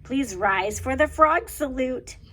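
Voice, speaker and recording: A woman voicing a cheerful, silly schoolteacher in a picture book, in a bright sing-song teacher voice. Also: sits high, expressive, slow pace, heavily acted. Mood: cheery.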